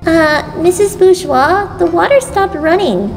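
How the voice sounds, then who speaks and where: A woman's voice: extremely high pitch, lively intonation, a little slow, heavily acted. A woman voicing an earnest, awkward, scheming schoolgirl in a cartoon comic dub. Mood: eager, uneasy. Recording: long reverb, music behind.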